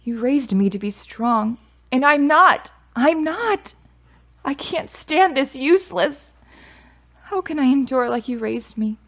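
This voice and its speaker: A woman's voice: high-pitched, expressive, slow pace, exaggerated voice. A woman playing a candid, reflective, grieving daughter of about thirty in a radio drama.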